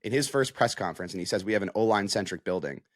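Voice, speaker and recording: A man's voice: on the low side, expressive, fast talker, no echo, clean studio sound. An American man giving casual, conversational sports analysis as a reporter, with frequent filler phrases like "you know".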